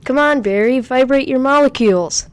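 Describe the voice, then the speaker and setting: A woman's voice: high voice, normal speed, clean tone, lightly acted. A woman playing a chatty teenage boy speedster in an amateur audio drama.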